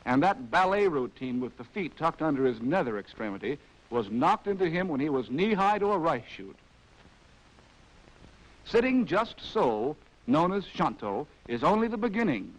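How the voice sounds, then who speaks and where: Casting: American male narrator for a 1940s documentary, higher-pitched voice with lively swings, wry and arch, vintage soundtrack sound. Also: normal speed, low-quality recording. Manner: wry, arch, theatrical phrasing. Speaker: narrator of a military training film.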